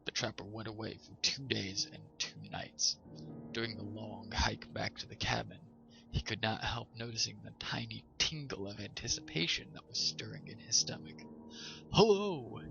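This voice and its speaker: A man's voice: lowish voice, moderate pace, vocal fry, background noise. A man hosting a story reading, narrating in a storyteller's style. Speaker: host and story reader. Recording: slightly dull sound, music behind.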